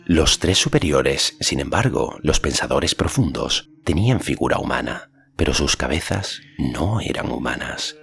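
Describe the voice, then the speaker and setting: A man's voice: extremely low pitch, sing-song, measured pace, gravelly, a bit bright. A man reading a fantasy story as an audiobook narrator, in a scripted narration style. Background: faint music.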